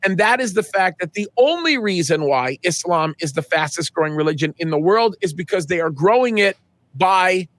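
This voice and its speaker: Middle-aged man, pastor guest, slightly high voice, dry close sound, earnest, emphatic restarts. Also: animated, normal speed, fairly bright tone, dry recording. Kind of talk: conversational interview answers.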